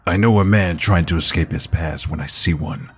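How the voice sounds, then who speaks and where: Man acting a deep-voiced, weary veteran soldier. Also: moderate pace, breathy edge. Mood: grave, stoic. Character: grave, weary veteran soldier, a wandering fighter. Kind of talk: dramatic fantasy audio drama.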